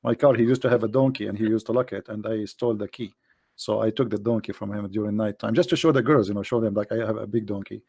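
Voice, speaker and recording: A man whose first language is Arabic, debating casually, animated and teasing, pressing his points and pushing his voice up for emphasis. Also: fairly low pitch, fast talker, vocal fry, breathy edge.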